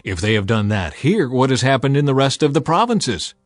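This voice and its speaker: A man's voice: mid-range voice, very animated, brisk, dry recording, clean studio sound, natural voice. A man voicing a commanding ancient king in a dramatized scripture audiobook. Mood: commanding, insistent. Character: commanding ancient king granting his queen's wish.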